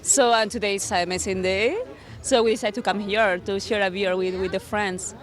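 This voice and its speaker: A woman's voice: mid-range voice, fairly even intonation, brisk. A woman from Spain with a Spanish accent chatting casually in an outdoor interview. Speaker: market visitor being interviewed. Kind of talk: casual chat. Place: outdoors at an urban market.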